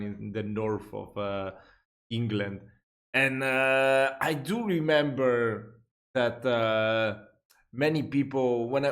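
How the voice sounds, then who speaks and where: A young Italian man talks casually on a headset mic in a small room at home. He rambles, trips over his words, corrects himself and laughs at his own asides. Italian is his first language. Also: medium pitch. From Italy. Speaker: reaction video host. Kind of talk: casual reaction chat.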